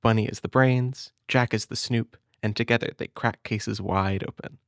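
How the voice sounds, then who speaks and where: A man speaking gently and hesitantly in an intimate comfort roleplay, as a big brother reading a storybook. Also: medium pitch, fairly even intonation, moderate pace, dry recording, clean studio sound. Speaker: audio roleplay performer as a caring big brother.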